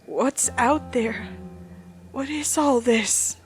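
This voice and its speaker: A woman's voice: fairly high pitch, very slow, faint music behind. A woman voicing a frightened, desperate newcomer to a hellish afterlife in a horror story, pleading and emotional. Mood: bewildered. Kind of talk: horror story character acting.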